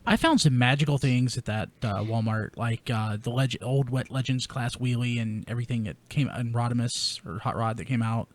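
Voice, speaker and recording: A man's voice: mid-range voice, normal speed, hardly any pauses. A man hosting a podcast in casual, informal chat with an enthusiastic manner. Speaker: podcast host.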